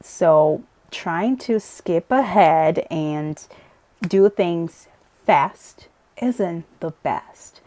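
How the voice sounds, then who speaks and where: A woman's voice: fairly low pitch, drawn-out words, mellow, dry recording. A woman from Brazil with a light Brazilian accent, teaching English pronunciation in a casual, chatty video lesson, laughing often and checking in with "right?". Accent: Brazilian Portuguese. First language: Portuguese.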